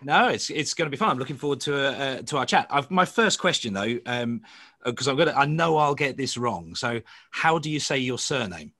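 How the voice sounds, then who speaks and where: Bright-voiced male podcast host. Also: mid-range voice, animated, fairly quick. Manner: friendly, stumbling restarts. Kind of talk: casual interview chat.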